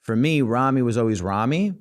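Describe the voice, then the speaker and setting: A man's voice: medium pitch, lively intonation, fairly quick. A man talking as a podcast guest in a casual interview, rambling with lots of "like" and "you know what I'm saying". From United States, Israeli family. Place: podcast studio.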